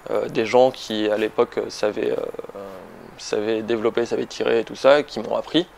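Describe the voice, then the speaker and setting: A man's voice: on the low side, steady tone, moderate pace, gravelly, darkish tone, slight background noise. A man in his late twenties from Toulouse, chatting casually and with some self-deprecation as he is interviewed. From Toulouse, France. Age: late 20s. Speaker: interviewed photographer.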